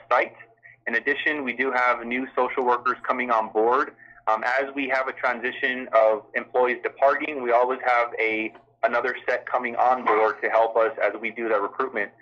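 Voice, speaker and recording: Bright-voiced man moderating a phone call. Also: mid-range voice. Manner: courteous, formal, hesitant. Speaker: call-in town hall moderator and health system official. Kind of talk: moderating a live question-and-answer call. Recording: dull telephone conference line.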